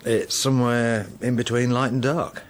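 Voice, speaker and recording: An actor playing an evasive, oblivious middle-aged husband in a radio drama, speaking in a halting, literal, deadpan way. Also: male voice, mid-range voice, close to an everyday voice. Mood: evasive, flustered.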